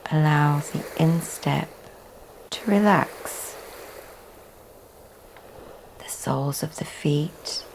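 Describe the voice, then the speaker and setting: A woman teaching yoga leads a guided relaxation meditation in a soft, often breathy delivery with long pauses. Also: deep voice, in a whisper, poor audio. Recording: faint background noise.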